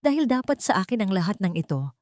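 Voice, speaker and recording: A woman's voice: medium pitch, sing-song, fast talker, a little mellow, no echo, clean studio sound, lightly acted. A woman voicing a spiteful, greedy, indignant older aunt in an audio drama. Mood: bitter fury. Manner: indignant, self-righteous.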